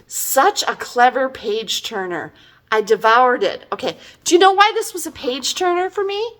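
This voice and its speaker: High-voiced woman, animated and exasperated. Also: moderate pace. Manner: exasperated, emphatic, conversational. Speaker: book reviewer. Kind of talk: casual, chatty book review.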